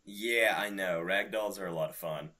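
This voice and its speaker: Very bright, sharp-voiced man. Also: low-pitched, normal speed. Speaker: podcast co-host. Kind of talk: casual gaming chat.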